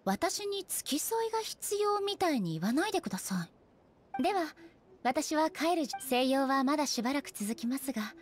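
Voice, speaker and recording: A woman playing a solemn female ruler deity in a Japanese anime game, speaking in a composed, formal, measured manner. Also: sits high, very animated, a little hiss or hum. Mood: reserved.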